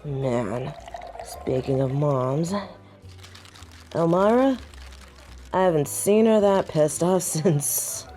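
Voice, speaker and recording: Woman acting a slow, low-voiced sardonic she-wolf. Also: dark tone. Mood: wry. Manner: dry, sarcastic, drawling. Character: sardonic tipsy female werewolf pack second-in-command, proud and prickly. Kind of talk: audio roleplay drama acting.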